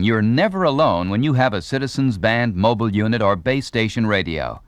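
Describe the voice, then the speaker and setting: A man's voice: mid-range voice, no echo. A man reading scripted instructional narration with a polished, formal announcer delivery.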